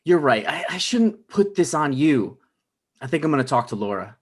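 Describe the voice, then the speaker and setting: A man's voice: a little high, sing-song, normal speed, slightly rough. A man playing a neurotic, self-absorbed playwright, conversational and rambling, in a comedy table read. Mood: casual, apologetic.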